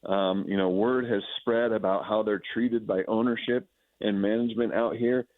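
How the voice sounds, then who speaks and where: A tinny, phone-like connection carries a fairly low American male voice. He is a sports anchor guesting on radio, effusive and conversational, often repeating and rephrasing to build a point. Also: steady tone, normal speed, dry recording. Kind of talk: conversational sports analysis interview.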